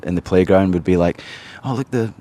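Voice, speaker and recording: A man with a Glasgow Scottish accent, a podcast guest talking casually, often pausing and restarting his sentences. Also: low voice, mellow, a little hiss or hum. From Scotland. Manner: hesitant, many restarts and fillers. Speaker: podcast guest, charity founder. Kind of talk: casual interview chat.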